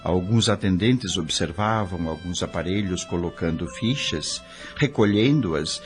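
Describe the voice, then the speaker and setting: A man playing a curious, awed spirit newcomer who narrates his story in an audio novel. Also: on the low side. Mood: wondering, reflective. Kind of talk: dramatized scripted narration.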